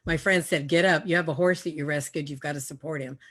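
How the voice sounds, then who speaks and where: A woman with a lowish voice shares a personal story on a video call. She is earnest, acts out the voices she quotes, and laughs at herself. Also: fairly even intonation, fast, fairly bright tone, breathy edge. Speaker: auctioneer and realtor.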